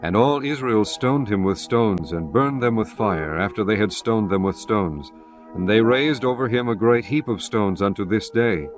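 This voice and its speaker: A man narrating scripture in a measured, solemn, formal way. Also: lowish voice, soft background music.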